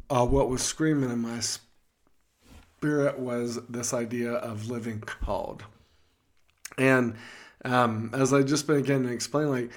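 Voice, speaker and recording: A man with a gruff voice co-hosting a faith podcast in an easygoing, joking chat full of fillers. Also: mid-range voice. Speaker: podcast co-host.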